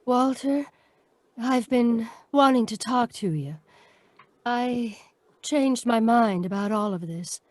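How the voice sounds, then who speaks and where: Lady playing a high slow-talking heroine in an old radio play, earnest and regretful, very dry sound. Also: lively intonation, no echo. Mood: earnest, resolute. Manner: earnest, melodramatic. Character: remorseful lovestruck young woman in frontier melodrama. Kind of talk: old-time radio drama acting.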